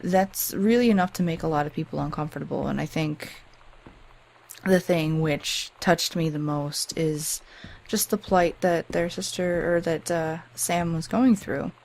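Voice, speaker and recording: A woman with a deep voice giving casual game commentary in an earnest, hesitant, reflective manner. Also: unhurried, creaky, darkish tone. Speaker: let's play video creator.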